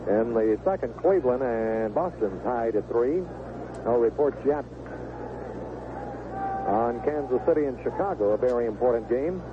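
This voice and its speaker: A man's voice: medium pitch, animated, moderate pace, pauses often. A man doing live 1970s radio play-by-play of a baseball game, describing the action in short clipped phrases. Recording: noisy, narrow-band vintage AM radio broadcast.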